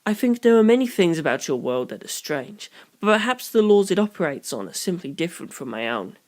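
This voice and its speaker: Narrator acting young detective, calm, analytical, formal wording, measured delivery, audiobook character, medium pitch. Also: lightly acted. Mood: calm, puzzled. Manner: measured, formal, deliberate phrasing. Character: Tsukumajuku, calm analytical young detective explaining grim theories.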